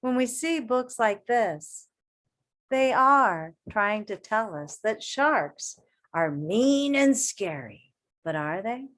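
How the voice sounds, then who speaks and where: A woman teacher reading a picture book aloud to children in an expressive way, pausing to ask her young listeners questions. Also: a little high.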